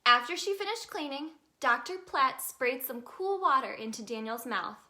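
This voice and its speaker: A woman reading a children's story aloud in an expressive, sing-song way for young listeners. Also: sits high, normal speed. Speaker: children's storybook reader, in a tooth fairy persona.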